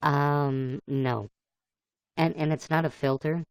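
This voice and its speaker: A man with a gravelly, voice-changed sound, chatting casually and easygoing as he hosts a livestream. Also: fairly high pitch, steady tone, slow pace, no echo, studio quality. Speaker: livestream host playing a computer-generated fox.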